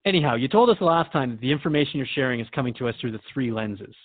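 A man's voice: mid-range voice, expressive, racing, no room sound, narrow, tinny audio, natural voice. A man playing a curious, polite radio host in an audio drama, interviewing in a courteous, conversational way. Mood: inquisitive, agreeable.

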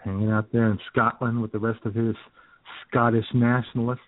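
A man's voice: medium pitch, flat delivery, a little fast, no echo. A man co-hosting an internet radio show with casual, irreverent, wisecracking comedic banter. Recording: thin phone-like internet radio sound.

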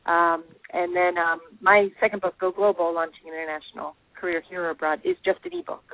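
A woman guest speaks quickly and earnestly over a thin, phone-like line. Her voice is dry and close, with plenty of ums as she makes her points. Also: fairly low pitch, lively intonation, no echo. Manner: earnest, quick, with many fillers. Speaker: author guest. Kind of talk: interview answers.

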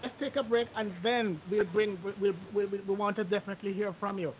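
A male radio host with a high voice asks probing questions and keeps the talk moving with short affirmations. The sound is narrow and phone-like, with faint noise underneath. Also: fairly even intonation, a little fast. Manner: probing, frequent brief affirmations. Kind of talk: radio talk show hosting.

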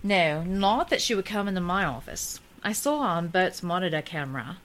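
A woman's voice: on the low side, moderate pace. A woman playing a nervous young woman facing an interview in an audio drama. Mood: anxious. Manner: anxious, animated.

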